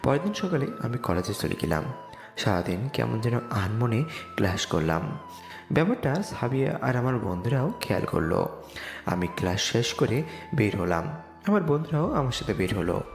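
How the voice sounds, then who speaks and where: A man's voice: mid-range voice, big pitch swings, normal speed. A young man narrating a dramatised story in the first person. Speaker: narrator and lead voice actor. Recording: echoey reverb with music behind.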